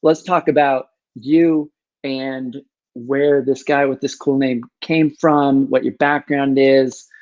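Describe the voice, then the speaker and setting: A man's voice: mid-range voice, level tone, takes it slowly, darkish tone, muffled recording. A man in his forties hosting an interview show over a video call from a room at home, upbeat and jokey. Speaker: podcast host. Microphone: earbuds on video call.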